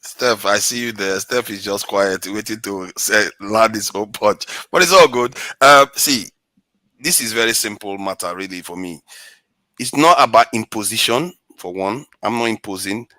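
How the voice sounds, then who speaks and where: A man's voice: on the low side, moderate pace, bright, forceful tone, no echo, low-quality recording. A male anchor and commentator on an online panel, talking in an aggrieved, insistent way. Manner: aggrieved, insistent, stressing words.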